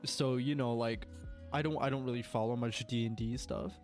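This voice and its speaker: A man's voice: medium pitch, fairly even intonation, moderate pace, a little mellow, soft background music. A young man with a cold, congested, chatting casually as a podcast co-host and rambling with lots of filler words. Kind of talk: casual chat.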